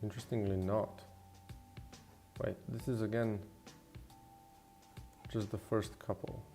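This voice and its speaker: Male game developer, low voice, off-the-cuff streamer chat, thinks aloud, music behind. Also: flat delivery, slow, mellow. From Slovenia. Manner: rambling, thinking aloud, mildly profane. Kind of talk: casual livestream chat while working. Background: background music.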